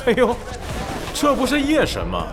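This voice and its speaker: A sneering club manager from an audio drama. He drawls with false courtesy and exaggerated swings in pitch. Music plays in the background. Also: huge pitch swings, moderate pace, crowd noise, heavily acted. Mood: mock-polite, smug. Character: smug, sneering male esports club manager.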